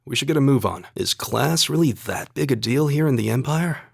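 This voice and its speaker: A man voicing a calm, courteous and earnest young male student fighter in a video game, speaking in a measured, polite way. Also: mid-range voice, creaky, slightly rough, no echo, clean studio sound. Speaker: video game character voice actor.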